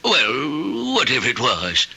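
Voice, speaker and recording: Mailman in an old radio play, sharp animated voice, folksy, doubtful but humoring. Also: mid-range voice, takes it slowly, creaky, breathy edge, heavily acted. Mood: placating. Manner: folksy, hesitant, soothing. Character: skeptical, placating neighborly mailman humoring a troubled woman. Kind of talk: old-time radio drama acting. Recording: old radio drama recording.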